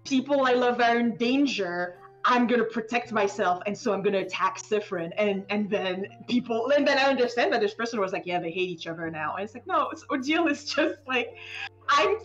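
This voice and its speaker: A woman chatting casually as a game developer in an interview, animated, often interrupting herself and stammering over words. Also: on the high side, brisk, muffled recording, faint music behind. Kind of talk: casual live stream chat.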